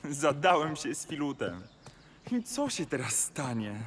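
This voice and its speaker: A man's voice: fairly high pitch, big pitch swings, measured pace, fairly bright tone. A man playing the bewildered, doubtful master of a clever cat in a rhymed audio fairy tale. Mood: doubtful, impressed. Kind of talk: rhymed audio-play acting.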